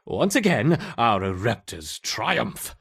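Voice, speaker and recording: A man's voice: mid-range voice, very animated, takes it slowly, vocal fry, a bit bright, husky, heavily acted. A man playing a theatrical video game scientist who relishes cruel experiments on ants, with a mock-innocent manner. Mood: gleeful, scheming. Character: gleefully sinister male scientist running cruel ant experiments. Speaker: lab researcher character. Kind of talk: video game character acting.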